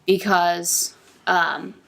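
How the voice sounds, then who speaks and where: Female podcast panelist, slightly low voice with plenty of vocal fry, earnest casual talk. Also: steady tone, drawn-out words, a bit bright, a little hiss or hum. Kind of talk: casual wrestling prediction talk.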